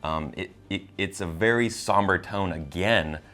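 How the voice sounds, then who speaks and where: A man with a low-pitched voice talks through a film review as co-host. His delivery is casual and conversational, often doubling back on words and phrases while he works out the thought. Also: measured pace, fairly bright tone. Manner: hesitant, repeats words and phrases as he goes. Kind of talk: casual spoiler review chat.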